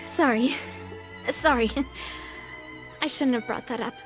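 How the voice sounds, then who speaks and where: A woman playing a refined, warm-hearted young leader in a video game, talking in a gentle, earnest, thoughtful way. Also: high voice, takes it slowly. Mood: somber. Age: young adult. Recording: background music.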